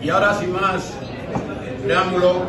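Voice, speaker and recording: A man's voice: high voice, flat delivery, unhurried, distant mic. An older man with an accent from Spain giving an informal, heartfelt tribute speech, his voice emotional. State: emotional, choked up. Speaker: speaker giving a tribute at a farewell dinner. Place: busy restaurant dining room, indoors. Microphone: distant camera across the room. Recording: echoey room from afar, loud crowd.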